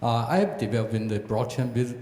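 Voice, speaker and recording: A man from Taiwan, asking a question from the audience in halting, hesitant speech. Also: darkish tone. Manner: halting, hesitant, many "uh" pauses. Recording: echoey hall, long reverb, faint rumble.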